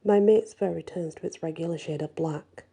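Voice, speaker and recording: A woman reads a fantasy tale in a very dark, mellow voice with a breathy husk. Her tone sits low and creaks into fry at times. She tells it softly and expressively, ASMR-style. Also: moderate pace. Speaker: storyteller reading a fantasy audiobook. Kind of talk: ASMR-style first-person dramatic story narration.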